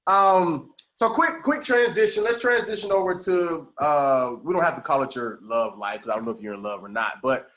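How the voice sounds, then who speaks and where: A man in his thirties hosting a casual podcast interview, laughing often and chiming in with quick agreements. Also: fairly high pitch, a bit bright. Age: 30s. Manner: laughs often, repeats "right, right". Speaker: podcast host.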